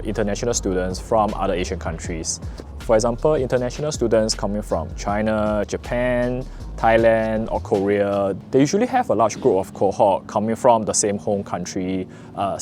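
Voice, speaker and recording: Low-voiced Singaporean man vlogging. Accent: Singaporean English. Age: young adult. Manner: relaxed and earnest, frequent "uh" fillers. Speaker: vlogger, recent business school graduate. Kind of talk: casual talk to camera.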